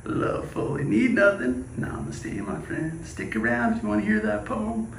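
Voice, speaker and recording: A man in his forties, a spoken word poet, chatting casually and reciting rhymes on a hiking trail, relaxed and upbeat. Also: sits high, moderate pace, echoey room, slight background noise. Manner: upbeat, laid-back, laughs easily. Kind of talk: casual vlog chat and rhyming poetry.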